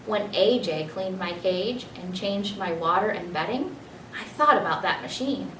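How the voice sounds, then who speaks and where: A woman narrates a children's storybook expressively at a middle pitch. She sounds a bit away from the microphone in an echoey room, with faint noise behind her. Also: animated, unhurried, fairly bright tone, recorded from a distance. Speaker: teacher reading a children's book aloud.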